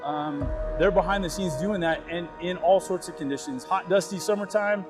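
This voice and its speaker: A man's voice: high voice, normal speed, music in the background. A man in a military role talking earnestly and informally in a documentary interview. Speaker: air force communications squadron leader.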